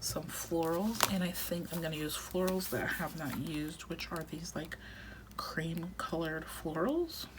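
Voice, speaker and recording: Low-voiced woman, chatty and a bit raspy. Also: lively intonation, measured pace, noisy background. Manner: chatty, reflective, drifts into soft half-whispers. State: voice getting raspy from long recording. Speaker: planner vlogger. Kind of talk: casual plan-with-me chat.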